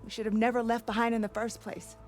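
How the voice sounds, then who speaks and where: An actress playing a despondent, homesick female navigator in a video game, with a fatalistic and measured way of talking. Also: on the high side, level tone, a little mellow, close to an everyday voice. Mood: despairing. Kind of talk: video game cutscene acting. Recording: music behind the dialogue.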